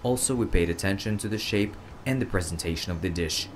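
A man with a very low voice explains his craft as an interviewed chef. A faint ambient noise sits behind him. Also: a little fast.

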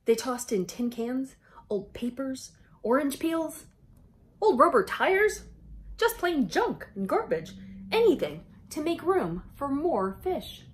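Very animated, high-pitched woman storyteller. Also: takes it slowly, a little hiss or hum. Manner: expressive, exclamatory, dramatic emphasis. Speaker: children's storyteller. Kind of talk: animated picture-book read-aloud to children.

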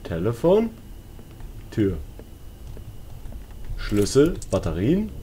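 A man's voice: lowish voice, huge pitch swings, drawn-out words, dark tone, slight background noise. A man giving casual, colloquial, joking commentary as he plays a horror game, talking to himself and his viewers. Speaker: let's player.

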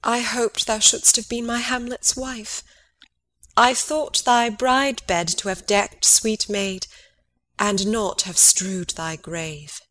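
A woman's voice: mid-range voice, steady tone, takes it slowly, a bit bright. A woman playing a sorrowful, anxious queen and mother in a theatrical Shakespeare audiobook reading. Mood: tender sorrow.

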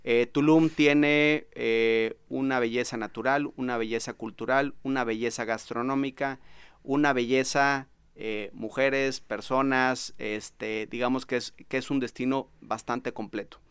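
A man with a Mexican accent hosts a conversational podcast interview. His voice is clean and resonant, at an ordinary male pitch. He is recorded close on a studio mic in a dry, padded room. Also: steady tone, unhurried, fairly bright tone, smooth, no room sound. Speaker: podcast host. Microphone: studio microphone with headphones.

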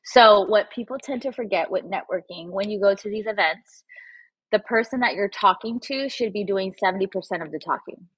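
A Spanish-speaking woman in her thirties from Puerto Rico, giving advice in a lively video-call interview through earbuds. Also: mid-range voice, fairly quick, slightly rough, dry recording, rough recording. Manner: animated, acts out quoted voices. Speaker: guest photographer and coach. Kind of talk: casual livestream interview. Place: room at home. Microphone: wireless earbuds, video call.

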